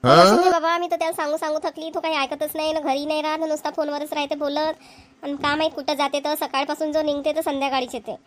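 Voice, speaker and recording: A woman's voice: high-pitched, fairly even intonation, fast, close to an everyday voice. A woman voicing a patient village mother in a cartoon, speaking in rural dialect. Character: village mother, patient, homely, dutiful wife. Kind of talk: cartoon voice acting.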